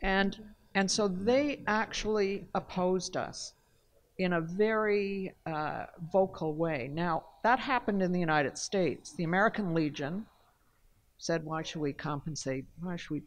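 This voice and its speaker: Low-voiced older woman on a panel. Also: measured pace. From Canada. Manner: earnest, emphatic storytelling. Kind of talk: panel discussion talk. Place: large hall on a stage panel. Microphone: table mic on a stand.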